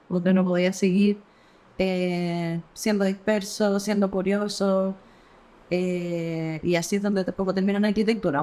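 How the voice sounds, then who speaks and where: A woman's voice: fairly low pitch, a little mellow. A woman in her forties with a Chilean accent, an architect and academic, talking thoughtfully in an interview with many hesitations and restarts. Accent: Chilean Spanish. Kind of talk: reflective conversational interview.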